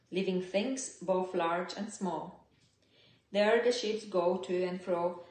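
A woman's voice: mid-range voice, darkish tone. Middle-aged woman reading scripture, echoey room. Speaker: scripture reader. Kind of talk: read-aloud Bible passage. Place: living room, seated indoors. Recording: roomy indoor home recording.

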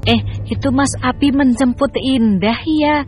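A woman voicing a giddy young woman who has gone crazy with love in an animated cartoon. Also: sits high, a little slow, loud music behind the voice. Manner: giddy, singsong, laughing.

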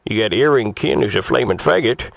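A man's voice: on the low side, expressive, a little fast, vocal fry, a little hiss or hum. A man voicing an indignant older crank customer on a comedy prank call, stammering and then ranting crudely. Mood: outraged. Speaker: prank caller on a radio show.

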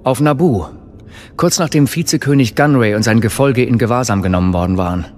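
A man's voice: medium pitch, a little fast, a little mellow, a little hiss or hum, natural voice. An actor playing a cautious, wry male warrior mentor in an audio drama, admonishing his pupil. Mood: calm, cautious.

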